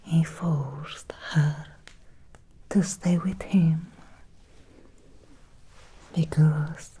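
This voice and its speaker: Woman doing a victorian vampire lady asmr, super breathy whisper, really slow, obsessive and teasing. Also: deep voice, fairly even intonation, warm tone, full character voice. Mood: obsessive, wistful. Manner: slow, lingering, teasing, intimate. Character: seductive, obsessive female Victorian vampire, softly predatory. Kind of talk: ASMR roleplay, whispered personal attention.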